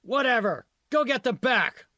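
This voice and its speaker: A man's voice: very high voice, lively intonation, measured pace, bright, forceful tone, no room sound, pitched above the performer's own voice, heavily acted. A man voicing a bossy, irritable foreman in an audiobook, coarse and impatient, haranguing his crew. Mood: angry, shouting. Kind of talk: audiobook character dialogue.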